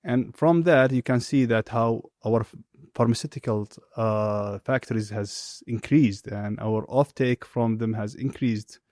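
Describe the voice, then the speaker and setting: A middle-aged man answering interview questions in a measured, deliberate way with frequent filler pauses. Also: mid-range voice, darkish tone, no echo, studio quality. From Saudi Arabia. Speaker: interview guest, executive.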